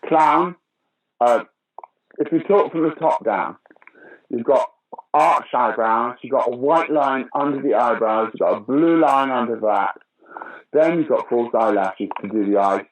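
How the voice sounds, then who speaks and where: A man's voice: mid-range voice, unhurried, poor audio. An older British man, a pantomime dame actor, telling stage stories in a casual interview. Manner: storytelling, animated anecdotes.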